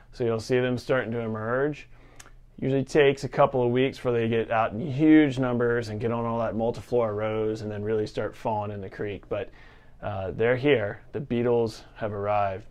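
Casting: man, 30s to 40s, fairly low voice, casual conversational presenter for outdoor-sports reports, unscripted feel. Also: a little mellow. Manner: informal, conversational. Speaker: fly shop forecast presenter. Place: fly fishing shop, indoors. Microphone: lavalier clipped at collar.

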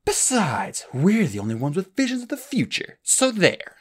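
Guy reading snooty know-it-all boy dragon, huffy, a bit higher than his own voice. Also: wildly swooping pitch, normal speed, husky, heavily acted. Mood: indignant. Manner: lofty, huffy. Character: lofty know-it-all young male dragon.

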